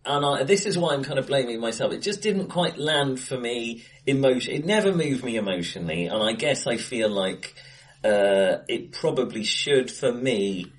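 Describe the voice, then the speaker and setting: Animated man with widely swinging intonation. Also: mid-range voice, moderate pace. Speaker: podcast co-host. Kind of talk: casual film discussion. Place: indoors, bright-sounding room, face to face.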